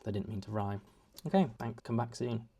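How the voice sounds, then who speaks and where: A man's voice: medium pitch, fairly quick, vocal fry, warm tone, breathy edge. A young man chatting at home in a casual vlog, rambling and self-deprecating with many restarts. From United Kingdom. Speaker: vlogger. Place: home, indoors.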